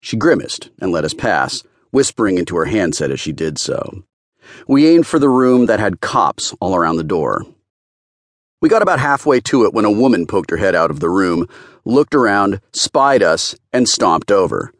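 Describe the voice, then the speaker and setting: Deep-voiced man narrating, animated intonation. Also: normal speed, dry recording, studio quality. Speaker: audiobook narrator. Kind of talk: first-person scripted fiction narration.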